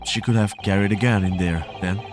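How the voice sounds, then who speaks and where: A man streaming a game, reading the dialogue aloud at a deliberate pace, then chatting playfully to his viewers. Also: on the low side, fairly even intonation. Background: game music.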